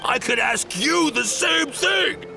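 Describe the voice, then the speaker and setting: An actor playing a startled, indignant male guard in a video game, shouting from some distance away. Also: very high voice, expressive, slow, gravelly, over music, heavily acted.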